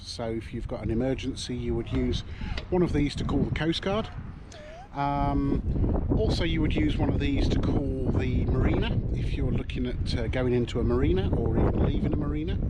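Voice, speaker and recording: A man casually explaining a handheld marine radio, talking in a relaxed, halting way with many ums. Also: mid-range voice. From United Kingdom. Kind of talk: casual product demonstration. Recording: loud background noise.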